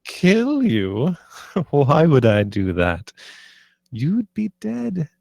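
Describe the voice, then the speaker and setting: A man playing a sadistic, taunting villain duchess in a screenplay table read, with a creaky, deliberate delivery. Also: on the high side, huge pitch swings, a little mellow, no echo, clean studio sound, exaggerated voice. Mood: mocking menace.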